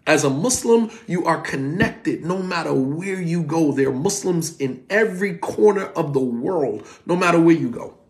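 A man in his forties teaching an online class from home, talking in a lively, conversational way and repeating points for emphasis. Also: on the high side, normal speed, hardly any pauses. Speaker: Islamic teacher. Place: home room, indoors. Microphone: phone camera at desk.

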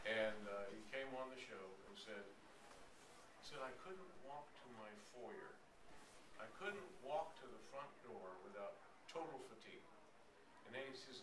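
Middle-aged male conference speaker, informal storytelling lecture, distant echoey recording with background noise. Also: medium pitch. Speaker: conference speaker, author on stem cell therapy. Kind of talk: informal public lecture with anecdotes. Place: hotel conference room, at a podium. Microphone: audience camera from several meters away. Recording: echoey room, distant camera mic, noisy.

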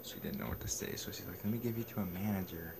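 A young man with a low voice talks in a casual, halting way. He is recorded on a phone held at arm's length in a small room. Clear background noise sits under his voice. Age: young adult. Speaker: prank caller and video maker. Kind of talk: casual phone prank talk with muttered asides. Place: small bedroom, indoors. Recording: noisy handheld phone video.

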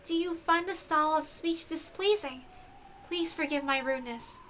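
Woman playing a meek, high-voiced young lady. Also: slight background noise. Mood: deferential. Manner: soft, polite, deferential, halting. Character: meek, formal, devoted young woman addressing her father.

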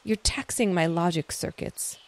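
A woman's voice: fairly low pitch, unhurried, close to an everyday voice. A woman playing a frustrated, insistent female android in an audio drama, terse and demanding.

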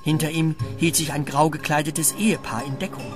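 A man's voice: fairly high pitch, steady tone, a little fast, over music. A man giving scripted narration for a children's story.

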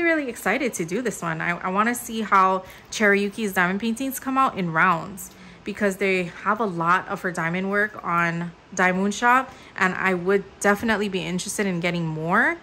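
Young woman hosting a craft unboxing, chatty and upbeat, bright tone, faint background hiss. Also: mid-range voice, moderate pace. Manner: chatty, upbeat, many fillers. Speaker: crafting channel host. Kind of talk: casual unboxing commentary. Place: indoors at a table. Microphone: overhead camera mic, hands-only framing.

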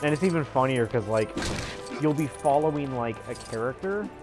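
A young man chatting casually as he streams a game, rambling and halting in an amused way. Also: medium pitch, expressive. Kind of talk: casual live gameplay chat. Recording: loud game music and sounds behind. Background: video game music and effects.

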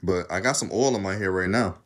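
Deep-voiced Southern man chatting casually. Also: a little fast. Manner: rambling, talkative, laughs often. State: arms tired while undoing braids. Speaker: vlogger. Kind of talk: casual chat to camera. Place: small room indoors. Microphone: phone camera at arm's length.

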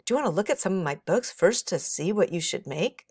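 A woman's voice: on the low side, animated, a little fast, a little mellow, slightly rough, no room sound, studio quality. A woman voicing an eager, bossy schoolboy who plans everything in a children's storybook, speaking emphatically. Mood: bossy, excited.

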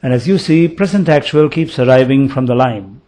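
A man giving a voice-over for a product demonstration, explaining it step by step in a measured way. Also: medium pitch, mellow. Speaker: product demo narrator.

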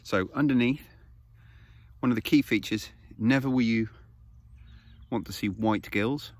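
A man's voice: mid-range voice, measured pace, a little mellow, no room sound. A man in his thirties hosting a foraging vlog outdoors on a grassy hill, explaining things casually with fillers as he films himself on a phone held close. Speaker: foraging channel host. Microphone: phone camera held close.

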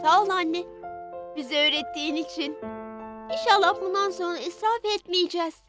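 A performer voicing an earnest, excitable little boy in a children's cartoon, expressive and childlike. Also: very high-pitched, moderate pace, music in the background. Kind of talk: cartoon dub acting.

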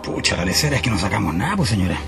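A Chilean man playing a folksy, evasive caretaker in a radio drama, with colloquial, halting, repetitive speech. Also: on the low side, big pitch swings, creaky. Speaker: actor. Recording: music behind the voice.

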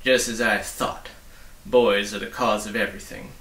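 A man's voice: fairly low pitch, steady tone, normal speed, a bit bright, echoey room, distant mic, natural voice. A man voicing a tiny, fierce, bossy storybook policeman with a blustering, accusatory manner. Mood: scowling, suspicious.

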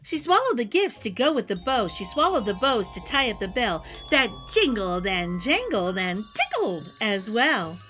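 A middle-aged woman hosting a children's read-aloud, telling a rhyming storybook in an animated, sing-song way over music. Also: on the high side, moderate pace, few pauses. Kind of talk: expressive rhyming storybook narration. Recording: music behind the voice.

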